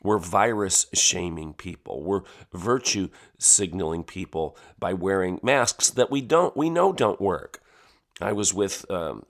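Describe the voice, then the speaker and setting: A man hosting his own talk show, hoarse and growly from a head and chest cold, delivering an emphatic opinion monologue. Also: fairly low pitch. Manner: emphatic, piling up lists of words. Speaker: podcast and radio host.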